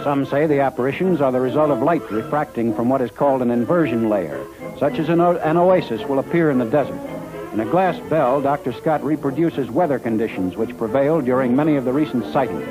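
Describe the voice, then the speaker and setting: An American man narrates a 1950s newsreel in a scripted announcer style. His mid-pitched voice runs over prominent music. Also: fairly quick, poor audio.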